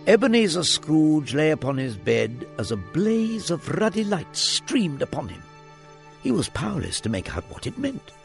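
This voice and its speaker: A man's voice: a little high, sing-song, moderate pace, vocal fry, soft background music. A man narrating a classic story for a radio drama, relishing each detail with dramatic expression. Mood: hushed suspense.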